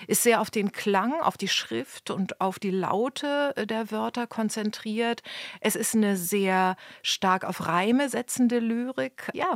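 A woman's voice: mid-range voice, gravelly, no room sound. Reflective woman critic, very dry recording. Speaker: literary critic as studio guest. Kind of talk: spontaneous book discussion.

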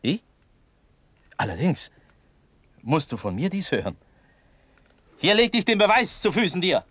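Proud, impetuous young officer prince, radio verse drama: raised pitch, very animated, theatrical. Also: male voice, measured pace, no room sound, heavily acted. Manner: impassioned, theatrical.

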